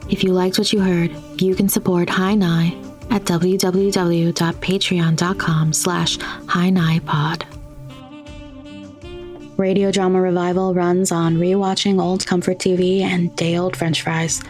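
A woman reads a scripted podcast outro over soft background music. Her voice is slightly low and her intonation stays quite flat and even. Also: measured pace. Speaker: podcast announcer.

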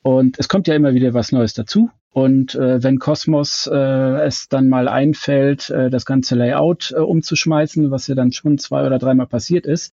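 A man gives a detailed, carefully worded explanation as a guest in an interview. His voice is mellow and mid-pitched, in a dry recording that sounds slightly muffled. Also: steady tone, moderate pace, hardly any pauses, dry recording. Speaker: fan website co-founder, podcast guest.

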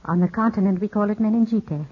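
An actress playing a poised, soothing widowed cousin who coaxes gently, in 1950s radio drama style on an old broadcast recording. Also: female voice, mid-range voice, fast talker, slightly rough, lightly acted. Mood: calm, caring. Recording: muffled 1950s radio broadcast, music behind.

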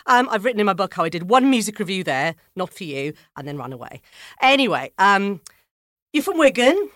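A woman's voice: medium pitch, very animated, a little fast, fairly bright tone, no room sound, studio quality. A woman in her forties with a Welsh accent hosting a conversational interview, chatty with frequent ums and small laughs. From Wales. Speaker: podcast host. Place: studio.

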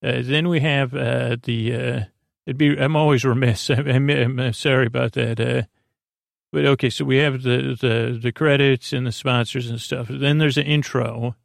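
A man hosting a bedtime podcast with a creaky voice, meandering and halting through a long-winded monologue that keeps interrupting itself. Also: medium pitch, no room sound, clean studio sound. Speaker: podcast host. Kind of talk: rambling bedtime monologue to lull listeners to sleep.

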